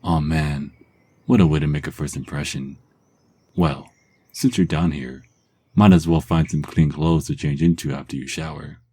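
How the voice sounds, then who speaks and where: Very deep husky male narrator. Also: steady tone, moderate pace, mellow, no echo. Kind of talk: second-person ASMR story narration.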